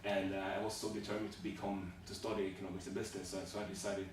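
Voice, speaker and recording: A man from Sweden, answering interview questions haltingly, with many fillers and restarts. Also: sits low, level tone. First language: Swedish. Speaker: job applicant in a practice interview. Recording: echoey room, distant mic, background noise.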